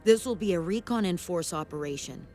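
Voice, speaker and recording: A woman's voice: lowish voice, animated, a little fast, mellow, faint music behind, close to an everyday voice. A woman playing a stern military commander in a game cutscene, speaking in a clipped, formal, authoritative way. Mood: stern, commanding. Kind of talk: video game cutscene acting.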